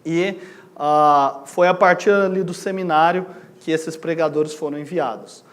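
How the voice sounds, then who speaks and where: A man's voice: a little high, steady tone, a little slow. A Brazilian man preaching a sermon as a missionary in a conversational way, putting questions to his listeners and checking that they agree. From Goiânia, Brazil. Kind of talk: sermon to a congregation.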